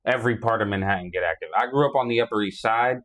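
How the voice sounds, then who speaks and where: A man's voice: lowish voice, rapid-fire, fairly bright tone. A man in his thirties from New York, a guest rapper talking in a laid-back, rambling way on a casual podcast. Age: 30s.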